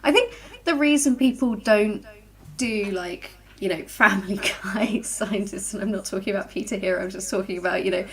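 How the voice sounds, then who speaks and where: A woman author talking casually on a livestream, chatty and quick, laughing easily. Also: mid-range voice, fairly bright tone. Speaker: author guest.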